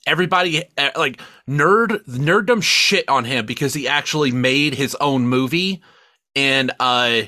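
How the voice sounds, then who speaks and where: A man's voice: medium pitch, a little slow, pushed and bright, dry recording, studio quality. A man co-hosting a casual, opinionated film discussion, speaking emphatically and swearing freely. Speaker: podcast co-host reviewing films.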